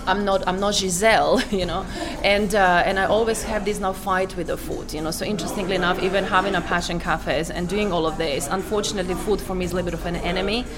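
A woman from Croatia is chatting through an interview in a lowish voice, stumbling over restarts and leaning on "you know." Music plays clearly behind her throughout. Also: steady tone. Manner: halting, many fillers and restarts. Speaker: interviewee, cafe business owner. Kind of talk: casual interview chat.

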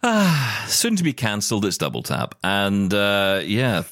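Animated male show host, teasing. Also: on the low side, measured pace, dry recording, faint music behind. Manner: teasing, jokey banter. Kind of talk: casual chat.

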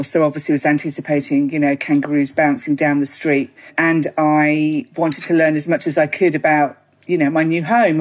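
A woman's voice: low-pitched, darkish tone. A British woman from the UK, chatting as a podcast guest in a rambling way, full of ums and you knows. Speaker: podcast guest, gin writer. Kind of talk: relaxed interview chat.

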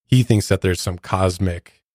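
A man's voice: sits low, unhurried, a little mellow, no echo, clean studio sound. A man co-hosting a podcast, explaining things in casual chat. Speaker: podcast co-host.

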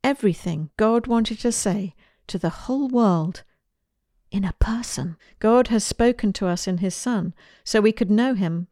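A woman hosting a show, delivering a scripted, earnest introduction in a measured way. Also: mid-range voice, dark tone, no room sound. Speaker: host.

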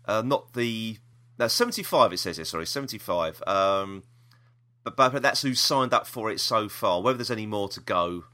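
A British man with a bright, lively voice hosts a casual podcast. His pitch moves around a lot as he rambles through the news and gives his opinions freely. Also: moderate pace. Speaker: podcast host. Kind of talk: casual chat about TV news.

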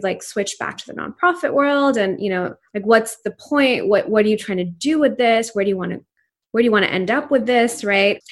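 A woman in her thirties who grew up in Pakistan and speaks Urdu natively, talking as an entrepreneur guest in an interview in an earnest, reflective way with frequent fillers. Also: mid-range voice. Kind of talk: casual interview conversation.

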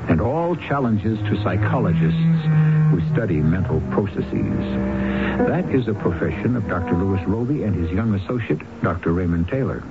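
A man hosting and narrating a radio mystery drama in a deliberate, portentous, scripted style. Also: on the low side, expressive. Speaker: host and narrator. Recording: dull 1970s radio broadcast. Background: loud music.

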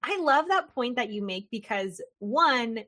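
A woman hosting an interview, chatting in an animated, jokey way and acting out little quoted voices as she talks. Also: a little high, unhurried. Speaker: podcast host. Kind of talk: casual interview chat.

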